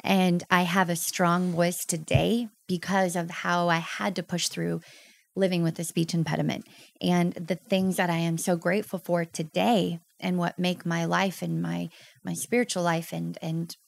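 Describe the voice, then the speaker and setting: Gravelly-voiced woman in her thirties, heartfelt. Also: lowish voice, level tone, measured pace. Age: 30s. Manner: earnest, heartfelt storytelling with emotional emphasis. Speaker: podcast guest. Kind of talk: candid personal interview.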